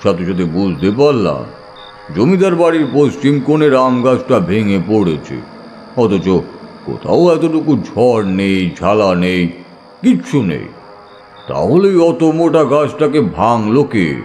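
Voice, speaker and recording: A man's voice: lowish voice, very animated, a little slow, a little mellow, faint music behind. A man narrating a horror audiobook in a suspenseful, theatrical style. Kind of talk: dramatic scripted narration of horror fiction.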